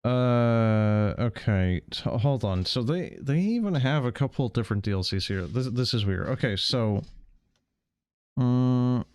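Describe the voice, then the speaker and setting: A man's voice: mid-range voice, lively intonation, measured pace, a little mellow, clear, resonant tone, dry recording. A man doing casual game stream commentary in a hesitant way, stammering and repeating words as he goes. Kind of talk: casual stream commentary, sometimes reading text aloud.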